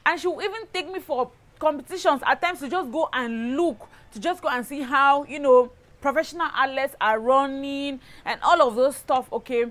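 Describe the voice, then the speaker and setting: A woman with a Cameroonian accent telling a personal story in a casual vlog style, animated and emphatic, often checking in with the listener. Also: sits high, normal speed, hardly any pauses. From Cameroon, southwest region. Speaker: lifestyle YouTuber, host.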